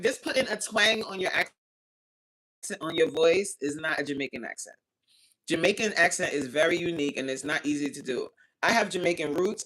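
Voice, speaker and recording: A woman hosting a casual livestream, reading chat aloud, animated and outspoken, exclaiming often and laughing as she talks. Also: on the low side, low-quality recording. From United States.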